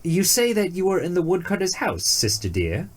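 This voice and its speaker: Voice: medium pitch, sing-song, moderate pace, husky, voice pushed up. A performer as a puzzled, lilting male rabbit. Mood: feigned puzzlement. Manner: polite, musing, puzzled.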